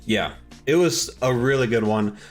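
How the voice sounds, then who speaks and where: A man's voice: medium pitch, moderate pace. A man co-hosting a podcast, chatting casually and enthusiastically in slangy, conversational language. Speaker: podcast co-host. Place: recorded remotely, separate from co-host. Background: background music.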